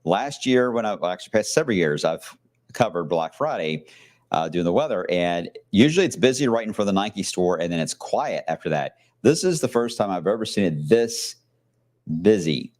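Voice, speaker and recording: A man with a deep, low voice talks through a live weather forecast from a shopping outlet. His delivery is loose and conversational, full of fillers and casual asides rather than a polished script. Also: lively intonation, moderate pace, darkish tone. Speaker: TV meteorologist.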